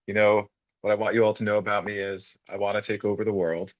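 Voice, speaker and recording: A fairly low male voice that comes through dull and lo-fi, as if over a video call. He hosts casually and feels his way through sentences with lots of "uh"s, chuckling often. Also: flat delivery, fast. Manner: hesitant, many fillers, laughs easily. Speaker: host of an online book club. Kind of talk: casual live video chat.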